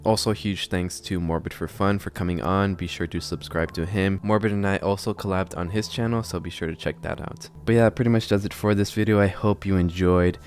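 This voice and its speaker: A man's voice: low voice, fairly even intonation, brisk, hardly any pauses. A man hosting a horror video channel, giving casual commentary on clips.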